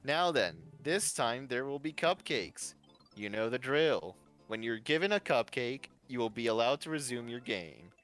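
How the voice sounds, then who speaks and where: A man's voice: mid-range voice, animated, normal speed, a bit bright, pitched above the performer's own voice, natural voice. A brash game-show host acted out by a man, voice lifted and emphatic, delivering elimination announcements with theatrical weight. Music plays behind the voice. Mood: smug, announcing.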